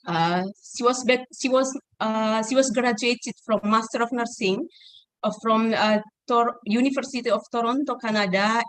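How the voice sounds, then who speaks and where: A woman moderating an event, introducing the guest speaker formally but haltingly, with many fillers. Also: a little high, fairly bright tone, dry recording, poor audio.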